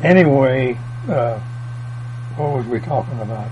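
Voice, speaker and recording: A folksy older man with a drawl wanders through his family's story in a plain, country way. His voice sits at an ordinary middle pitch. The recording is dull and lo-fi, with a steady mains hum running underneath. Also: unhurried. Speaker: oral history interviewee. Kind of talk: rambling family reminiscence.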